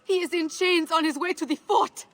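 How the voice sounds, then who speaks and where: A woman's voice: very high-pitched, fairly even intonation, moderate pace, a bit bright. A woman acting a proud, devoted ancient Egyptian wife in a video game, speaking with earnest emotion. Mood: distressed.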